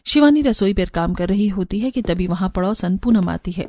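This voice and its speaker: A woman's voice: medium pitch, expressive, moderate pace, a little mellow. A woman narrating a scripted cartoon story.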